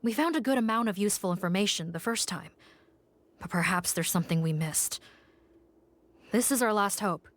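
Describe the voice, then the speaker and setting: Female squad officer voiced by a woman, steady, decisive, mid-pitched, game dialogue. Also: moderate pace, pauses often, lightly acted. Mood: planning. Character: brisk adult female officer of a police squad.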